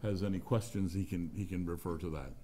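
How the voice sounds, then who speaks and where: Low-voiced man chairing a hearing. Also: fairly even intonation, warm tone, slight background noise. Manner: procedural, brisk. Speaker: board chairman. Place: large hearing room, indoors.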